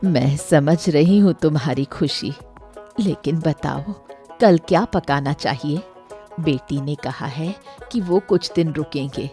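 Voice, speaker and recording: A woman voicing a practical village wife and homemaker in an animated moral story. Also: low voice, slow, faint music behind. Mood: calm, caring.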